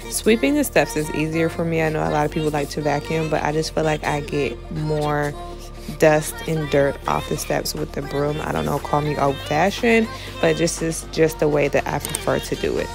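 A woman's voice: low-pitched, normal speed, vocal fry, over music. A young woman casually narrating her cleaning routine, chatty and explanatory as she talks through each chore. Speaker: cleaning vlogger.

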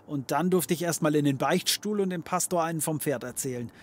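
An actor playing a cocky, scheming occult detective in a comedy audio drama, wry and theatrical. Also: male voice, fairly high pitch, fairly quick, a little hiss or hum, close to an everyday voice. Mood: cheeky, amused. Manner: wry, theatrical, mock-patronising.

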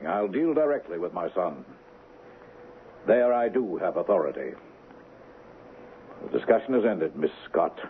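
A man's voice: low-pitched, big pitch swings, a little slow, pauses often. An actor playing a cold, domineering wealthy father in a 1970s radio drama, speaking formally and with command. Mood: cold, stern. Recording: muffled narrow-band old radio, faint noise.

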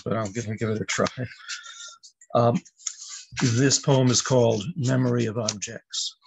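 An older man in about his seventies reading his poem aloud over a video call, with measured, reflective phrasing. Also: medium pitch, vocal fry, a little hiss or hum, poor audio. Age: older, about 70s. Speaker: poet at an open mic. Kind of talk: poetry reading.

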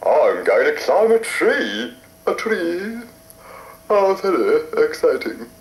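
A man's voice: fairly high pitch, big pitch swings, slow, pitched above the performer's own voice. A man voicing a prim, airily polite woodland creature in a children's story. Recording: roomy echo with loud hiss.

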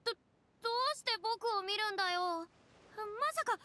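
A woman's voice: very high-pitched, moderate pace, pushed and bright. A woman playing a boastful, theatrical young former goddess in a Japanese anime game, with boyish phrasing and a tendency to get flustered. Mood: flustered, pleading.

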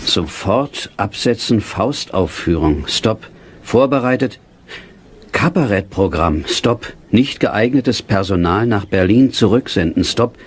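Low-voiced, loyal theatre colleague. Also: male voice, animated, takes it slowly. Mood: surprised, pleading. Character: curious, loyal actor, friend of the troupe leader. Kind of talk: German radio play acting. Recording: faint background noise.

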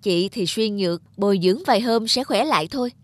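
A woman's voice: medium pitch, expressive, brisk, no room sound, close to an everyday voice. A woman voicing a kind, earnest young friend in a cartoon drama, reassuring.

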